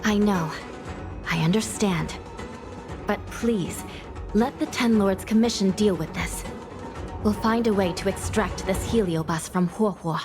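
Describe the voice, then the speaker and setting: A woman plays a composed, duty-bound judge from a video game. Her medium-pitched voice is measured, firm and formal, with music playing underneath. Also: close to an everyday voice. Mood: firm, reassuring. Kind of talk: video game dialogue acting.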